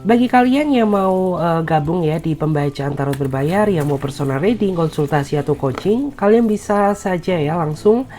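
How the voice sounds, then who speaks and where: A woman's voice: lowish voice, a little mellow, a little hiss or hum. A woman doing a tarot reading with an Indonesian accent, her voice nasal from a cold, speaking soothingly with many filler words. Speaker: tarot reader.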